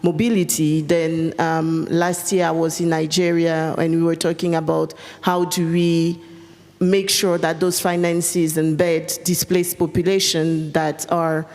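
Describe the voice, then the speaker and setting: A woman's voice: sits low, level tone, measured pace, darkish tone. A woman whose first language is French, answering earnestly on a conference panel, with many fillers and repeated phrases for emphasis. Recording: echoey conference hall.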